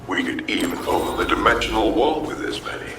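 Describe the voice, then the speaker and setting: An actor playing a thoughtful, intrigued analyst explaining discoveries in a video game. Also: male voice, on the low side, lively intonation, a little fast. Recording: echoing, distant, music behind.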